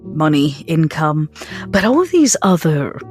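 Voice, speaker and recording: A woman's voice: deep voice, very animated, unhurried, a little mellow, soft background music. A woman playing a cold, regal, century-old vampire with a clipped, formal and imperious manner in a tabletop game.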